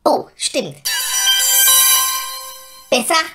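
An actress playing a sassy, irritable elf in a comedy audio play, brash and snappy. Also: female voice, drawn-out words, over loud music. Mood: exasperated. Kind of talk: German comedy audio drama.

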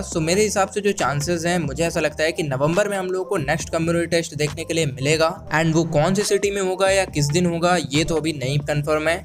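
A man from India with an Indian accent hosts a casual gaming news voice-over, mixing in English words as he goes. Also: a little high. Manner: code-switches between Hindi and English. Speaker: gaming channel host. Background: background music.

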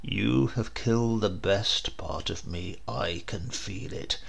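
Male reader as wounded gentleman in a storybook melodrama: impassioned, gravelly, volatile between hush and outburst. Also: fairly low pitch, takes it slowly, voice pushed down, heavily acted. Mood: anguished rage. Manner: impassioned, melodramatic, swinging from hushed to loud. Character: scorned English gentleman, raging and bitterly wounded. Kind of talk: dramatic storybook reading.

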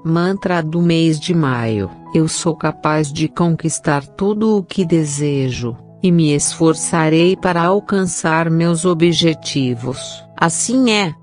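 A woman with a Brazilian accent recites prayers in a solemn, measured way. Her voice sits very low for a woman, and she takes each phrase unhurriedly. Soft music plays faintly behind her. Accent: Brazilian Portuguese. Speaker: prayer reader. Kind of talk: slow scripted prayer reading.

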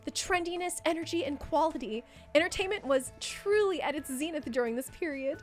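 A woman performs a young princess from a visual novel game in a high, animated voice. The delivery is formal and precise, full of earnest enthusiasm, with music underneath. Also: fairly quick. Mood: earnest lecturing. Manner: formal, precise, exclamatory speech. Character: polite foreign princess girl, earnest, enthusiastic about Japanese dramas. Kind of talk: dramatic read of visual novel dialogue.

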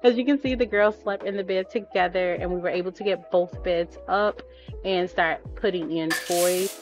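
A woman's voice: fairly low pitch, fairly even intonation, moderate pace, highs cut off, loud music behind the voice. A woman in her thirties, a mother vlogging, talking candidly and chattily with many ums. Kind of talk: casual voice-over chat.

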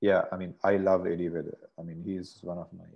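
Low, flat-voiced man on a video call. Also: fairly quick, creaky, highs cut off. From Sri Lanka. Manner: rambling, many restarts and fillers. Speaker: guest singer. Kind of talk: casual interview over video call. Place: bright room indoors. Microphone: earphone mic on a video call.

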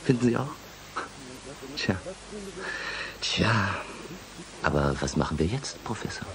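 A man's voice: low voice, very animated, slow, a crowd in the background, full character voice, rough recording. An actor playing an embittered young doctoral student in a German radio play, sarcastic and taunting as he turns to sly blackmail. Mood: smug, taunting.

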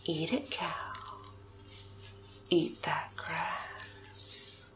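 A commanding alien cow hypnotist, played by a woman, whispers in a lowish, breathy female voice. She speaks extremely slowly and deliberately, coaxing like an ASMR roleplay captor. Music plays behind her. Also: big pitch swings, full character voice. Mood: hypnotic, commanding.

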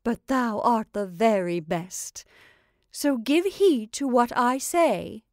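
A domineering old witch from a storybook, as a woman narrator puts her on. She declaims in archaic phrases with high, emphatic swoops, scornful and coaxing at once. The sound is dry and close. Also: sing-song, takes it slowly, darkish tone, no echo. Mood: gleeful, doting.

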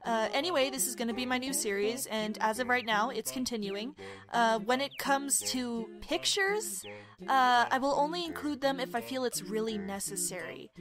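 A woman's voice: fairly high pitch, animated, normal speed, crowd noise. A woman chatting casually to her followers as the creator of an audio drama.

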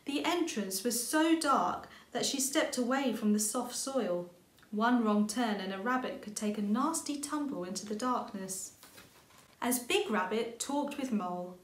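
A woman's voice: fairly high pitch, lively intonation, measured pace. A middle-aged woman, a children's author, reading a storybook aloud as scripted narration indoors. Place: indoors, small room with bookshelves. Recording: echoey room sound.

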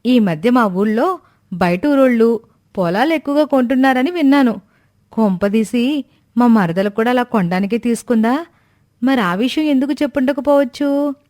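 A woman's voice: on the high side, lively intonation, normal speed, long pauses, darkish tone, natural voice. Cartoon family tale: prying younger daughter-in-law played by a woman, suspicious, brooding, full of insinuating questions. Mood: suspicious musing. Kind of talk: animated moral-story voice acting.

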